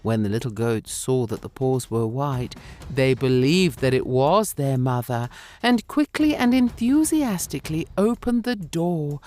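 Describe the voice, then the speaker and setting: A woman's voice: a bass voice, expressive, measured pace, mellow. A woman storyteller narrating a scripted fairy tale for children.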